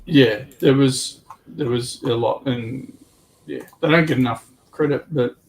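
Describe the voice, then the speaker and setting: A man in casual, halting discussion as a livestream co-host, thinking aloud in an informal way. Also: medium pitch, poor audio. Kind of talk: casual hobby and military history discussion. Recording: faint background noise, room ambience.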